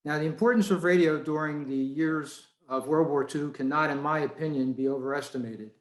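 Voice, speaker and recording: A man's voice: a little high, fairly quick. Echoey-sounding man giving a club talk. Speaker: club presenter. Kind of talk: prepared talk read to a club meeting. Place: indoors, on a video call. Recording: roomy, echoey video call audio.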